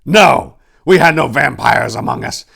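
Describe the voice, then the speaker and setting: Man acting a proud elder warrior monk, raised pitch. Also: lively intonation, measured pace, vocal fry, fairly bright tone, husky, exaggerated voice. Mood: shocked, defensive. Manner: indignant, proud, formal speech. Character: proud male elder warrior monk, fiery when accused.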